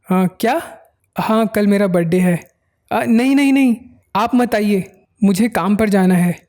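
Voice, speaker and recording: A recap narrator voicing a teenage boy who dodges his mother's questions on a phone call, hesitant and hurried. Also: medium pitch, a little mellow, lightly acted. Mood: flustered.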